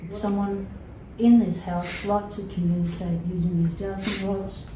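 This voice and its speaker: A woman on a ghost hunt, calmly and methodically giving instructions to spirits through dowsing rods in a dark abandoned room. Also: mid-range voice, noisy background. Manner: calm, instructive, measured. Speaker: paranormal investigator. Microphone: camera from across the room. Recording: echoey distant room sound.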